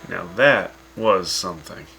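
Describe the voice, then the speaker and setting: An actor playing a wry, sarcastic radio host in the wasteland. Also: male voice, low voice, animated, a little slow, vocal fry, over loud music, full character voice, low-quality recording.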